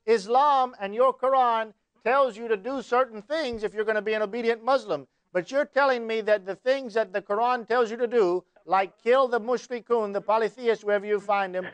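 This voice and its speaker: A man's voice: very high-pitched, normal speed, few pauses, smooth, no room sound. A man hosting a live TV call-in show, pressing his caller insistently and quoting scripture like a preacher. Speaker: TV show host. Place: TV studio.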